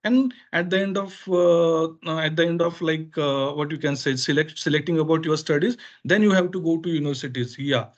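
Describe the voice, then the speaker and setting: A man with an Indian accent, a native Marathi speaker, explaining things informally as a webinar presenter in halting, filler-heavy phrases. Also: on the high side, muffled recording. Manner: halting, hesitant phrasing with frequent filler pauses. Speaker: education consultant presenting a webinar.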